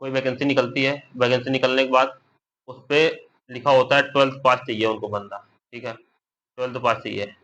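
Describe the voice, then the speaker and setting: Bright, forceful young man explaining. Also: medium pitch, fairly even intonation, a little fast. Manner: repetitive, plain-spoken explaining, with colloquial asides to listeners. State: slightly unwell. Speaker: exam news vlogger. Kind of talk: informal explanatory update to viewers. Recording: dull lo-fi audio, faint background noise.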